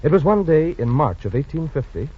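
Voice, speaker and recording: A man's voice: on the high side, sing-song, brisk, slightly rough. A man giving formal, scripted storytelling narration in 1940s radio style. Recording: muffled 1940s radio recording with music. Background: music.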